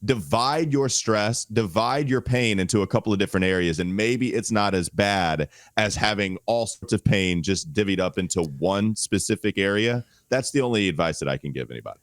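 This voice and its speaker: A man's voice: fairly low pitch, lively intonation, moderate pace, hardly any pauses, no echo, clean studio sound. A male sports podcast co-host, under the weather and holding his voice back, talking with wry, exaggerated gloom. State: under the weather, voice strained and quiet. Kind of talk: casual sports talk.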